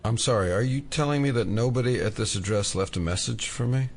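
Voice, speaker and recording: An actor playing a wry, laid-back FBI agent in an audio drama, with a dry deadpan manner. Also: male voice, medium pitch, a little fast, close to an everyday voice. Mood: polite. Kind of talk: naturalistic audio drama acting. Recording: faint background noise.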